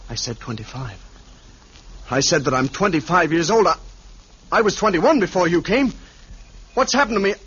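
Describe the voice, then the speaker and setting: A man's voice: high-pitched, animated, normal speed, pauses often, fairly bright tone, breathy edge, heavily acted. An actor playing an earnest, anguished man in his twenties, hesitant and emotional, in an old-time radio drama. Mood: frightened, confused. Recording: muffled vintage radio recording, music behind.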